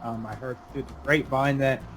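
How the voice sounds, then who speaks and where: A man's voice: medium pitch, fairly even intonation, brisk, a little hiss or hum. A man hosting a podcast in casual roundtable talk. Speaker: podcast host.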